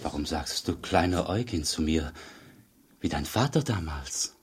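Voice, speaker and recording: An actor playing an earnest, patient traveller in a German radio drama, with a husky voice and measured, gentle delivery. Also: male voice, rumbling low, lively intonation, heavily acted. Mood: earnest, quiet. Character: earnest, patient male traveller seeking an old acquaintance.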